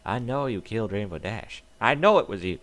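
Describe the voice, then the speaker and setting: A man's voice: fairly low pitch, animated, brisk, a bit bright. A man voicing an enraged female farm pony who screams accusations. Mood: accusing. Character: furious female farm pony, turned violent.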